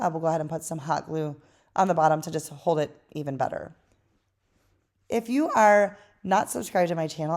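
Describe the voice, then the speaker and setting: A woman talks through a craft tutorial in a tired, hoarse voice that sits a little low. Her narration is chatty and relaxed, with easy fillers as she goes. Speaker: craft channel host.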